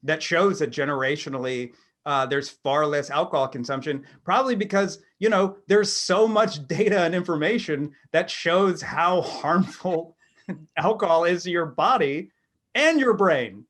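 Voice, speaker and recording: A middle-aged man hosting a casual podcast interview, wordy and halting in how he phrases things. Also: on the high side, fairly bright tone. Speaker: podcast host.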